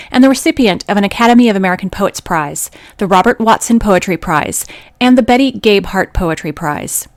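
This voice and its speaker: A woman hosting a radio program, reading scripted introductions and announcements. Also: medium pitch.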